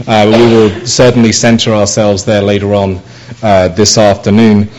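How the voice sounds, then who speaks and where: A man's voice: fairly low pitch, level tone, moderate pace, darkish tone, muffled recording. A middle-aged man with an English accent preaching a sermon, easygoing and conversational, with many fillers and restarts. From England. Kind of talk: sermon with personal anecdotes.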